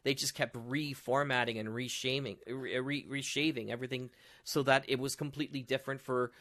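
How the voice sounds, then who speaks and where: Middle aged guy on a podcast, really bright forceful voice, rambly and argumentative. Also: mid-range voice, steady tone, brisk. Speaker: podcast co-host. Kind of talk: animated casual panel discussion.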